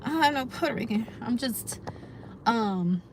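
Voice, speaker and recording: Chatty American woman, higher voice. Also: lively intonation, normal speed, a little hiss or hum. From United States. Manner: chatty, addresses viewers as y'all, reflective. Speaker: lifestyle vlogger. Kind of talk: casual chat to viewers.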